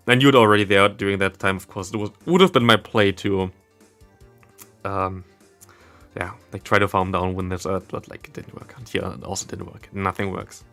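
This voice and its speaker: A German man with a fairly low voice chats casually as he livestreams a game. His English carries a German accent, and he rambles in a self-deprecating way. Faint music plays behind him. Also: a bit bright. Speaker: livestreamer and content creator. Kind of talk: casual live game commentary and chat. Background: background game music.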